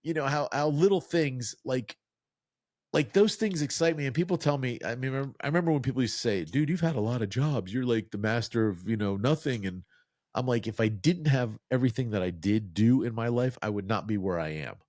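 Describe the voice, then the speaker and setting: A man's voice: mid-range voice, lively intonation, a little fast, a little mellow, no room sound, clean studio sound. A middle-aged man from New Jersey, a podcast co-host telling long, self-mocking stories in casual chat and quoting people in put-on voices.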